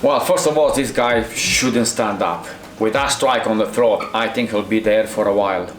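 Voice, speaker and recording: A middle-aged man from Romania with a Romanian accent, a Krav Maga instructor reacting to fight scenes in halting, restarting English. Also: lowish voice, roomy sound, noisy background. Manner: halting non-native phrasing with many restarts.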